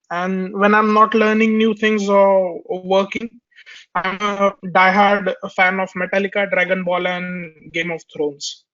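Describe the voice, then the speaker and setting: A man with a fairly high voice walks listeners through a technical webinar, his voice nasal from a cold. He hesitates often, filling gaps and restarting phrases. The sound is muffled and lo-fi, like a webinar conference call. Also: a bit bright. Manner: hesitant, many fillers and restarts. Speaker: webinar presenter. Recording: dull lo-fi webinar audio.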